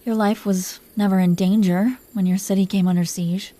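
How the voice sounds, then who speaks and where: A woman voicing a protective, smitten dragon queen in an intimate romantic audio roleplay, speaking softly and breathily with an affectionate, teasing manner, often near a whisper. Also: mid-range voice, fairly even intonation, close to an everyday voice. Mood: protective, adoring. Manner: affectionate, teasing, slow confiding delivery.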